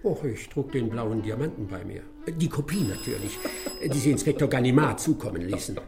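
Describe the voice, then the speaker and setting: A man acting a smug, courteous English gentleman detective in a German radio drama, dryly ironic and savouring each phrase. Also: mid-range voice, expressive, fairly quick, over music, close to an everyday voice. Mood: playful, courteous.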